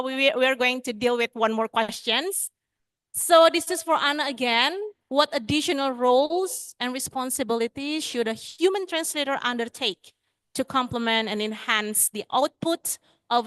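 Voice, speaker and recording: A woman's voice: sits high, fairly bright tone, dry recording, poor audio. A woman moderating a seminar question session, politely reading out questions. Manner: polite, reads questions aloud.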